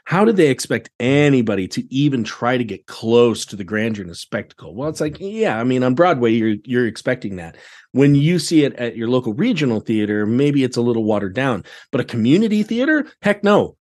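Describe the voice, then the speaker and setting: A man with an American accent chatting casually as a podcast guest, animated, colloquial and emphatic. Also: medium pitch, fairly quick. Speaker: podcast guest, theatre director. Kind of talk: casual chat.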